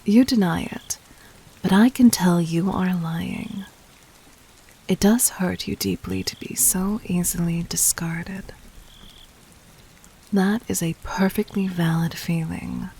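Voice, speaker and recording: A woman playing a regal, cunning, gently dominant demon queen in a roleplay audio drama, speaking formally and intimately with measured, breathy poise. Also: on the low side, full character voice. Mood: probing, tender. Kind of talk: scripted roleplay audio drama addressed to the listener.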